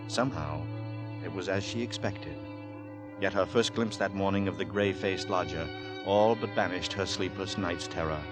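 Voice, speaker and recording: A low-voiced, grave narrator, played by a man, tells a Victorian horror tale. The delivery is measured and dramatic, in vintage radio suspense style, with music clearly behind it. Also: natural voice.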